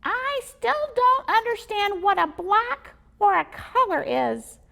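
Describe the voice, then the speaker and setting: A woman voicing an angry little male squirrel with a squeaky voice. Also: very animated, slow, gravelly, full character voice. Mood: indignant. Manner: indignant, shouting.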